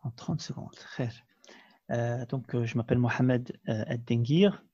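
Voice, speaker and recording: A man's voice: medium pitch, a little slow, dark tone, husky, no room sound, muffled recording. A man in his thirties from Belgium, of Moroccan family, speaking as an imam in an interview, hesitant with many fillers and restarts. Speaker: imam, guest. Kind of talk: conversational interview.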